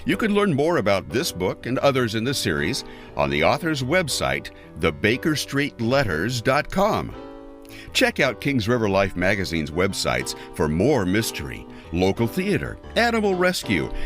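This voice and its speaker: Male podcast announcer doing a scripted outro, lowish voice, super animated, faint guitar behind. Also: unhurried. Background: guitar music.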